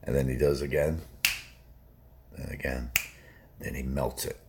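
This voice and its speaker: A man with an extremely deep baritone hosts a music reaction video. He talks in a loose, rambling way, full of fillers. He keeps stressing his points with emphasis. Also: little pitch movement, measured pace, creaky, noisy background. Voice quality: low baritone voice. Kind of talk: casual reaction commentary.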